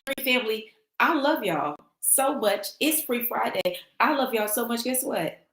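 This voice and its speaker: A woman with a slightly raspy, travel-worn voice hosts a casual livestream and chats with viewers. She talks in an animated way and reads their comments aloud. The sound is echoey, as if recorded in a bare room. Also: fairly high pitch, normal speed. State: losing her voice from travel. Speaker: livestream host. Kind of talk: casual livestream sign-off chat.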